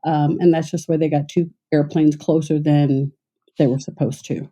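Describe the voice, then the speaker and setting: A middle-aged woman with a mellow, warm tone talks through her years as an air traffic controller. She speaks in a measured, unhurried way, pausing on fillers and restarting sentences as she remembers. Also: deep voice, steady tone. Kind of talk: conversational interview storytelling.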